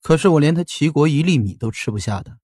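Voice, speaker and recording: Proud, weary, defiant scholar-official. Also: male voice, fairly high pitch, expressive, darkish tone, dry recording, clean studio sound. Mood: solemn, defiant. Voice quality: hoarse, weary. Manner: formal, solemn, measured rhetoric. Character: gaunt, proud, defiant male loyalist official on hunger strike. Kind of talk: Chinese costume audio drama acting.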